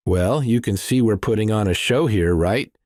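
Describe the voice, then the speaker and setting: A man voicing a charming, smooth-talking rock guitarist in an audiobook thriller. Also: on the low side, moderate pace, a little mellow, no room sound, studio quality, lightly acted. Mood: friendly, coaxing. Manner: friendly, laid-back charm.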